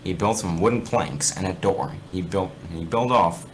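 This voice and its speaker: Man, fairly deep voice, casual amateur reader, online voice chat, faint background noise. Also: moderate pace. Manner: sweary. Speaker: fan fiction reader. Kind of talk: amateur read-aloud in a casual game voice chat. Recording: noisy voice chat recording.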